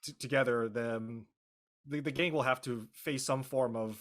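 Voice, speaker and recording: A man, an actor on a live show, talking casually about his character and haltingly searching for his words. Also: medium pitch, steady tone, fairly bright tone. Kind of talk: introducing his character, casual panel talk.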